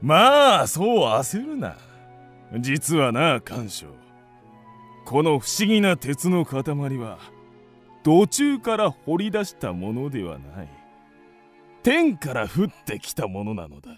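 Man acting pompous king, slow, swooping delivery. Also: on the high side, huge pitch swings, soft background music, full character voice. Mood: commanding, flattering. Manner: pompous, deliberate. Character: scheming, imperious king of ancient China. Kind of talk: radio drama acting.

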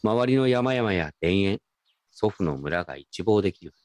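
A man's voice: low-pitched, expressive, normal speed, darkish tone, no room sound. A man playing a wry, nostalgic grown grandson, telling his story casually and reflectively in a radio drama. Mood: confident. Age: adult. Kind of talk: first-person radio drama narration and dialogue.

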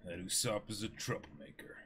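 A man voicing a sinister, restrained butler with a measured, menacing manner in a manga reading. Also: on the low side, fairly even intonation, fairly bright tone, pitched below the performer's own voice, close to an everyday voice. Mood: cold, menacing. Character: sinister restrained male butler, secretly a pirate.

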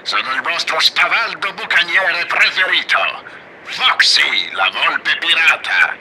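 Swaggering pirate fox animatronic, a boastful male show robot hamming it up in a fan-dubbed cartoon, played by a man. His voice is bright and sharp, swinging widely up and down as he drags out his words with loud pirate bluster. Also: fairly high pitch, very animated, slow pace, creaky, a little hiss or hum, exaggerated voice. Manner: bellowing, laughing pirate bluster. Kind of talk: fan dub voice acting.

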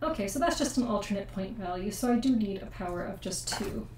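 A woman's voice: medium pitch, animated, a little fast, darkish tone, slight background noise. Young woman explaining a card game, echoey. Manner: chatty and explanatory, often second-guessing the rules. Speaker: board game channel host. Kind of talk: casual board game playthrough. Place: home room at a table. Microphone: desk USB mic with room echo. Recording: echoey room, mic set far off.

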